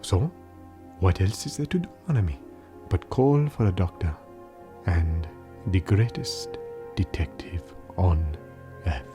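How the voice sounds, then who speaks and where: Slow, dramatic male narrator over music. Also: sits low. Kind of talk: dramatic scripted story narration.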